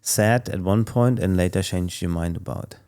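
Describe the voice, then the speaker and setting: A man hosting a podcast interview, asking thoughtful, measured questions. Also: deep voice, mellow, clean tone.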